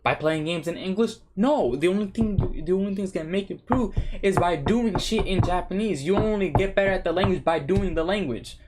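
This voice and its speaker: Young man, native Spanish speaker, slightly high pitch, casual rambling vlog talk, profane, cheap webcam mic. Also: a little fast, hardly any pauses, heavy background noise. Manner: rambling, profane, many restarts and fillers. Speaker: vlogger. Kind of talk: casual unscripted chat to camera. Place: seated indoors at a computer.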